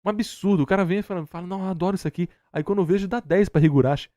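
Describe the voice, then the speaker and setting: A man's voice: high-pitched, very fast, warm tone, no echo, studio quality. A Brazilian man giving casual, opinionated commentary in an emphatic, slangy way, as a reaction host. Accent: Brazilian Portuguese.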